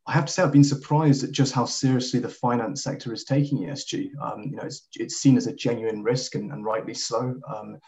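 A man's voice: mid-range voice, fairly quick, vocal fry, few pauses, a little mellow, lo-fi sound. A man in his thirties who grew up in Kenya, a podcast guest talking with many fillers and restarts. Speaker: guest, sustainability head. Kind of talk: podcast interview.

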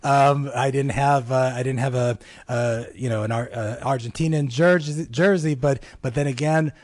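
A man's voice: mid-range voice, steady tone, normal speed, darkish tone, no echo. A man hosting a talk show, chatty and loose, with many fillers and restarts. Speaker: host.

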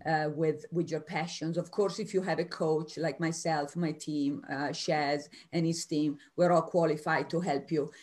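An Italian American woman with an Italian accent, a career coach speaking as a guest over a video call. She answers spontaneously with energy, in quick bursts with restarts. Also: low voice, steady tone, darkish tone. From United States.

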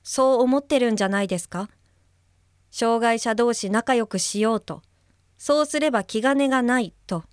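An actress playing a polite, conscientious young female guide-helper in a radio drama, reading a letter aloud in a soft, measured voice. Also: a little high, no echo, clean studio sound, natural voice. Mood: earnest. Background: music under the voice.

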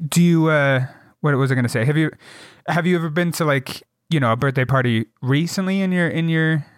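Animated young man telling a story. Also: fairly quick, clean tone, dry recording, studio quality. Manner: animated storyteller, acts out quotes in a high voice; swears freely, many fillers. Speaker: podcast co-host. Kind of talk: casual storytelling chat.

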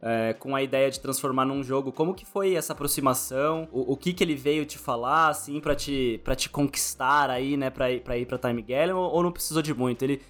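A man's voice: medium pitch, brisk, few pauses, fairly bright tone. A man with a Brazilian Portuguese accent casually interviewing a guest as a podcast host.

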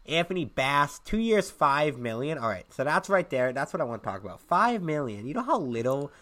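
A man's voice: a little high, very animated, brisk, sharp tone. A young man co-hosting a casual sports podcast, sounding congested and sick, joking and teasing his co-host.

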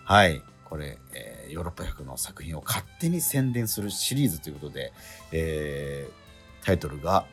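A man's voice: a bass voice, big pitch swings, normal speed, fairly bright tone. A man introduces a radio programme as its host. He is casual and conversational, checking in for agreement as he goes. His intonation swings widely over faint background music.

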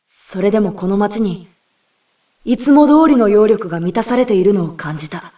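A woman's voice: mid-range voice, lively intonation, normal speed, mellow, natural voice. A woman playing an earnest young girl from a spirit world in an audio drama. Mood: wistful, hushed. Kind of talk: Japanese audio drama acting and narration. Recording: roomy, distant, music behind.

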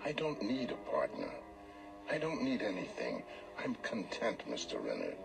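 An actor in a radio drama playing a gentle, weary old man, soft-spoken, polite and measured, with a gravelly voice. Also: fairly low pitch, wildly swooping pitch, full character voice. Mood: guarded. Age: older. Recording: music bed under dramatized dialogue. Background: loud music.

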